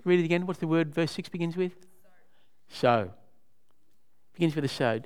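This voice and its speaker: A man's voice: on the high side, moderate pace, darkish tone, clear, resonant tone. A man leading a Bible study, explaining scripture to a group in a conversational way and asking them questions. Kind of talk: interactive Bible teaching.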